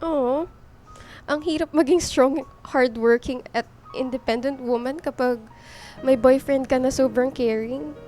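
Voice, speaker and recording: A strong, hardworking Filipino career woman in a radio drama. Her voice is high-pitched. Faint music runs behind her. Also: steady tone, measured pace, dark tone. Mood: soft, affectionate. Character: strict, hardworking career woman, affectionate girlfriend.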